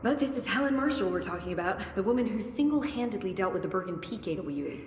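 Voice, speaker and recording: An actress playing a brisk, curious research scientist with dry wit in a video game, thinking aloud analytically. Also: female voice, medium pitch, animated, close to an everyday voice. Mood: dry, frank. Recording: echoey hall with faint noise.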